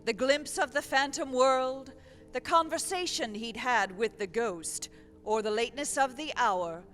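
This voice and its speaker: High-voiced woman reading radio script. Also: a little slow, fairly bright tone. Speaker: narrator. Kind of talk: scripted narration and sponsor read for a radio play.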